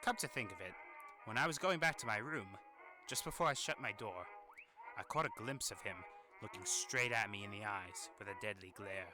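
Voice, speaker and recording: A man's voice: fairly low pitch, animated, moderate pace, natural voice, low-quality recording. A man voicing a weary, irritable, sardonic demon hotel resident in a fan-made animation monologue, with grumbling, exasperated delivery. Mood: dry, disdainful. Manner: grumbling, exasperated, sighing asides. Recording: voice over old phonograph music.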